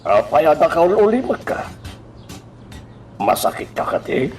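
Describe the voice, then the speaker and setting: A man acting a boss who probes his worker with drawn-out questions in an Ilocano radio drama. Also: on the high side, sing-song, a little mellow, recorded from a distance, soft background music. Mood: probing.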